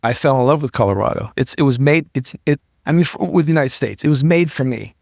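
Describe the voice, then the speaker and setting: A man's voice: medium pitch, quick pace, vocal fry, a little mellow, no echo, clean studio sound. A middle-aged man from Iran, a podcast guest telling his story earnestly and often repeating words as he builds up. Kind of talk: casual interview talk.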